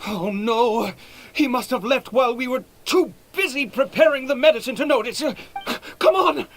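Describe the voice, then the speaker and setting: A man voicing an anxious, weary father in a video game, speaking in a hesitant, halting way with stammered repeats. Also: extremely high pitch, a bit bright. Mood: alarmed, urgent. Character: anxious, weary father searching for his son. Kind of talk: dramatic video game voice acting.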